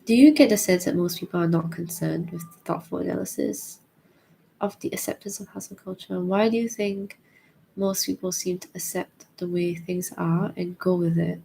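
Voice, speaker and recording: A woman's voice: fairly low pitch, steady tone, moderate pace, creaky, mellow, a little hiss or hum, rough recording. A young woman with a Singaporean accent, thoughtfully answering viewer questions in a casual chat, hesitant with many fillers. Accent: Singaporean English.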